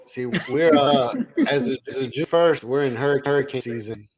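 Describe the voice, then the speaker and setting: A man co-hosting a podcast in casual chat, talking in an animated way with halting restarts. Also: medium pitch, over a noisy crowd. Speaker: podcast co-host. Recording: distant mic with noise.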